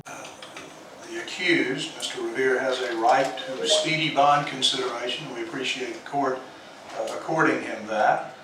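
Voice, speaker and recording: An older man, a defense attorney, arguing in court with formal, measured legal phrasing. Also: mid-range voice, fairly even intonation, noisy background. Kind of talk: courtroom argument. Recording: echoey courtroom audio from a distant mic.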